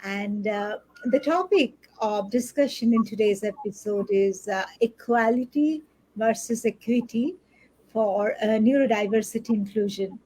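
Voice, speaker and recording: A woman's voice: mid-range voice, a little slow, darkish tone, husky, rough recording. A woman from India hosting an interview show, polite and formal, reading prepared questions. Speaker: host.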